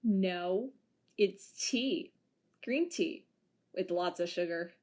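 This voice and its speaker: A woman's voice: on the low side, slow, darkish tone. A woman playing a casual, teasing female crew member in an amateur audio drama. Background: music.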